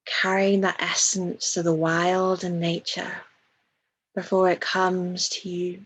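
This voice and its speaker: A woman leading a guided meditation in a soft, breathy voice, soothing and hushed, with long pauses between phrases. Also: lowish voice, little pitch movement, rough recording.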